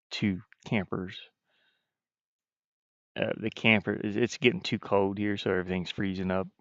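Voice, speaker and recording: Low, gravelly man chatting on a stream. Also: no echo. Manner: hesitant, halting, frequently trailing off. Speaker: game developer livestreaming. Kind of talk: casual stream chat. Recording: muffled, dull low-fidelity stream audio.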